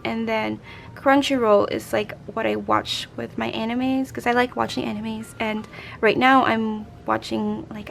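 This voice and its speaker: A young woman with a hoarse, raspy voice and a bit of a gravelly edge, talking in a loose, rambling way as she counts bills aloud. Her voice sits a little on the high side, with faint room hiss behind her. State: hoarse from a late night. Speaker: budgeting vlogger. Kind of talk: casual chat while stuffing cash envelopes.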